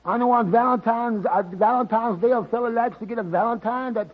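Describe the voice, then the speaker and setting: A man's voice: very high-pitched, brisk. A man acting a timid, dim fellow with a heavy comic stammer in a golden age radio sitcom. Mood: flustered. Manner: heavy comic stammer, repeats and loses words. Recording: muffled vintage radio recording.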